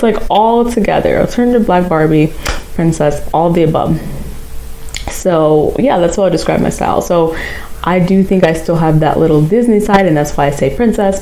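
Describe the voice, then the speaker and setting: A woman's voice: sits low, creaky, warm tone, slight background noise, poor audio. A nineteen-year-old woman chatting casually to her channel viewers, rambling with fillers and little giggles. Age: young adult. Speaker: vlogger. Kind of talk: casual vlog chat.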